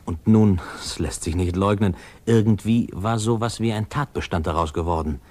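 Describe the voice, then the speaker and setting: A man's voice: lowish voice, normal speed, darkish tone, natural voice. An actor playing a man recalling his boyhood, narrating a radio drama in a conversational, amused way. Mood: amused, reminiscing. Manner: conversational, hesitant, chuckling storyteller.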